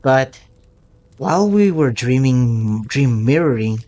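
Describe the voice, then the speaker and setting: A woman's voice: very deep voice, expressive, slow, mellow. A woman streaming a game, commenting on the story and reading dialogue aloud with dramatic expression. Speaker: gaming streamer.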